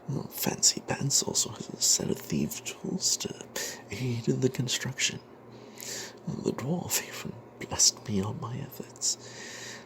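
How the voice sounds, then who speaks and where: A man voicing a greedy, cowardly adventurer cleric, telling a fantasy tale in the first person in a breathy, secretive ASMR whisper with hesitant pauses. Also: mid-range voice, low-quality recording. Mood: wry, secretive. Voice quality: breathy whisper with creaky edges. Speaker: narrator of a tabletop fantasy tale.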